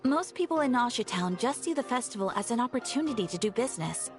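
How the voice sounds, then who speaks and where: A video game guild receptionist, courteous and composed. The voice is fairly high with lively melody, explaining things formally over clear music. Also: female voice, fast. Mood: informative. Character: polite female adventurers' guild receptionist, helpful and composed. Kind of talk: scripted video game dialogue.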